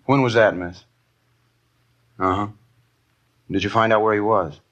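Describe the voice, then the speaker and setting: Detective partner, lowish forceful voice, brisk and businesslike, old radio crime drama. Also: male voice, muffled recording. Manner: clipped, matter-of-fact questioning. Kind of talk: 1950s radio drama dialogue.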